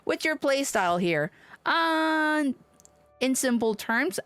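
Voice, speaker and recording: A woman livestreamer chatting casually as she plays a video game, expressive and playful, given to exclamations. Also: high voice, takes it slowly, dry recording. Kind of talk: casual live gameplay chat and commentary. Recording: game music behind the voice. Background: video game music.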